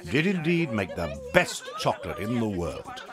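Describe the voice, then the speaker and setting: A man narrating a dramatised children's story in an expressive storytelling way. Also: on the low side, normal speed, a crowd in the background.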